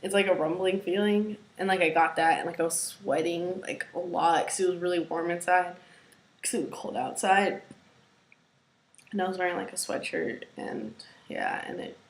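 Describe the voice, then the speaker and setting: A young adult woman with a raspy voice, talking casually to camera in a hesitant, rambling way at home, with many fillers and moments that drop to a near whisper. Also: on the low side, fairly even intonation, normal speed. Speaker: vlogger. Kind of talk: casual personal video diary. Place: at home, indoors. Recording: roomy, echoey room.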